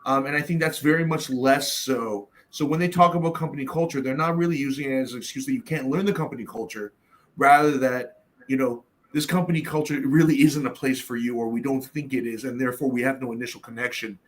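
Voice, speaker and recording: A man's voice: medium pitch, fairly even intonation, fast talker. A middle-aged man from Canada in a casual roundtable discussion, talking bluntly with plenty of swearing and fillers. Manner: blunt, profane, storytelling with many fillers.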